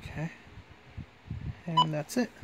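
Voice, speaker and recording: A man with a fairly high voice presents a hands-on gadget review. He talks informally, like an everyday hobbyist video, and tends to restate his points as he goes. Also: lively intonation, slow, creaky, noisy background. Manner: informal, repeats and rephrases himself. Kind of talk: casual hands-on product review.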